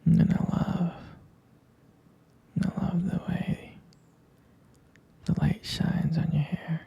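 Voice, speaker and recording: A person whispering intimately and close to the microphone in a romantic ASMR roleplay as a tender, adoring vampire lover, with hesitant pauses. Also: mid-range voice, flat delivery, mellow, muffled recording. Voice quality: breathy, husky whisper. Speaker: ASMR performer playing a loving partner.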